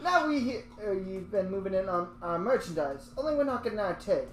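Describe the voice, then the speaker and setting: A man's voice: high-pitched, big pitch swings, brisk. Man as brusque threatening street thug, roomy echo, faint music behind. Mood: menacing. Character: pushy male street thug collecting a debt.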